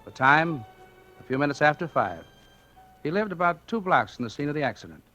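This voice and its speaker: An actor playing a methodical, portentous narrator reciting an accident report in a 1940s radio drama. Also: male voice, a little high, sing-song, brisk, pushed and bright, faint music behind. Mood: grave. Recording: dull old radio broadcast recording.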